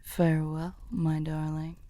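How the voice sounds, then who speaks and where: A woman voicing a courtly, tender female demon weary of evil, speaking softly and formally, half whispering, in an ASMR roleplay. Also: deep voice, completely flat delivery, no echo. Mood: amused, fond. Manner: slow, soft, breathy half-whispered formal speech.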